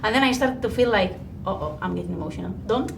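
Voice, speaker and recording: Casting: actress, lively storyteller, mid-pitched voice, noisy junket. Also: female voice, fast talker, vocal fry. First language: Spanish. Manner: expressive, acts out her own reactions. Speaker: actor, interview guest. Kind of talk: animated interview answers.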